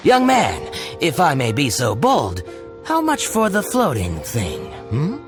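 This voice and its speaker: An actor playing a sly, chatty small-time merchant with an ingratiating, theatrical manner in a video game. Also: male voice, on the high side, huge pitch swings, a little slow. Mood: coy, wheedling. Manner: coy, smooth-talking, ingratiating. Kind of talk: video game character dialogue. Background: music.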